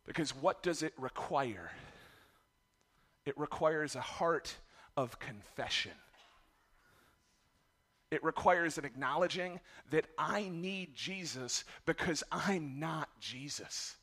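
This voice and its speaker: A middle-aged man delivers a sermon in a raspy, strained voice. He speaks high and bright, candid and self-deprecating about raising his children. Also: animated, slow, pauses often. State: raspy voice from yelling. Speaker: pastor.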